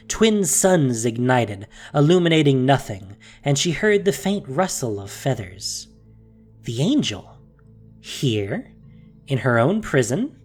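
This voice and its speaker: Expressive, dramatic storytelling narrator. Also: medium pitch.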